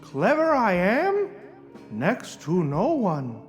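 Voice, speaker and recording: A woman's voice: medium pitch, huge pitch swings, takes it slowly, soft background music. A woman playing a pretentious, frustrated poet from a cartoon, reading a blog in a brooding, melodramatic way. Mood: solemn, poetic.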